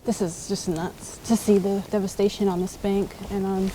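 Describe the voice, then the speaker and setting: A woman's voice: mid-range voice, little pitch movement, normal speed, warm tone, poor audio. A young woman, a water quality specialist, explaining things outdoors beside a creek in an eager, explanatory way. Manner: explanatory, enthusiastic, laughs lightly. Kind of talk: field interview explanation. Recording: outdoor field recording with noise. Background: outdoor ambience.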